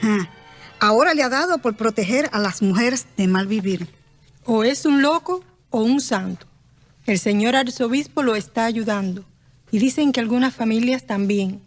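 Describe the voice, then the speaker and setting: Radio play: chattering townswomen, high voices, mocking gossip. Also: male voice. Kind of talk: radio drama acting.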